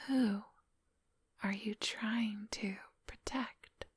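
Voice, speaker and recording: A woman's voice: mid-range voice, steady tone, extremely slow, slightly rough, no echo, exaggerated voice. A woman playing a coolly hypnotic, controlling female vampire in an ASMR roleplay, whispering breathily in a condescending, coaxing and commanding manner, close to the microphone. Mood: scornful, probing. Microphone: close, dry microphone.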